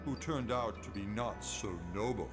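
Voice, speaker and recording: An actor playing a theatrical recorded ghost-tour guide in a video game, telling spooky tales in a dramatic, ominous way. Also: male voice, mid-range voice, moderate pace, music in the background, natural voice. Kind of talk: spooky scripted narration.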